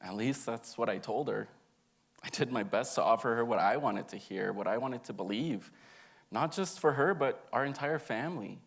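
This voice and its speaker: A man's voice: mid-range voice, normal speed, vocal fry, slightly rough. A young man reading an autobiographical monologue aloud in an earnest, emotive storytelling way. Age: young adult.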